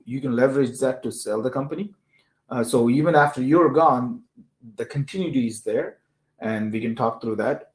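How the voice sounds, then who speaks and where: Guy hosting a tech and business chat, medium pitch, talks things through casually, explains as he goes. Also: a little mellow. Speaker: host of a tech discussion show. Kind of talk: casual discussion of technology and business use cases.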